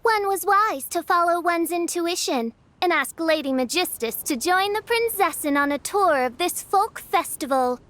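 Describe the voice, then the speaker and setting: A woman's voice: very high-pitched, lively intonation, normal speed, few pauses, fairly bright tone. A woman voicing a haughty, theatrical teenage girl who poses as a princess in a video game, speaking in pompous, archaic phrases. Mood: grandly pleased. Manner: pompous, archaic, grandiose diction.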